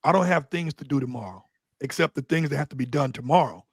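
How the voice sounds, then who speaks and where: A man's voice: medium pitch, animated, fast talker, breathy edge, dry recording, studio quality. A man guesting on an interview, telling stories loosely and bluntly with street slang. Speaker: interview guest, Doberman breeder. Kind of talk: casual conversational interview.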